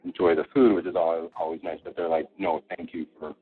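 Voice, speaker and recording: Casting: easygoing male chef, deep husky voice, phone-quality sound, dry. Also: flat delivery, fairly quick, no room sound, faint music behind. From Costa Rica. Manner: easygoing, rambling, many fillers. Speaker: chef being interviewed. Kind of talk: relaxed conversational interview. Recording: tinny phone-like line.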